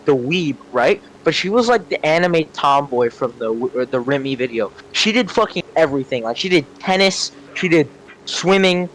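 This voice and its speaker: A man's voice: a little high, expressive, normal speed, fairly bright tone, poor audio. A young man chatting casually and telling stories, rambling, searching for words and swearing freely. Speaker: storyteller on a gaming channel. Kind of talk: casual storytelling chat. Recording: clear vehicle-like background noise.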